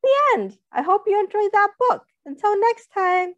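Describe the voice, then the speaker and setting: Young lady doing a slow kids read-aloud, very up and down pitch, teacher style, bit lo-fi webcam sound. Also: no echo. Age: young adult. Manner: expressive, simple explanatory asides with questions to young listeners. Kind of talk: picture-book read-aloud for young children. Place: small indoor room, seated.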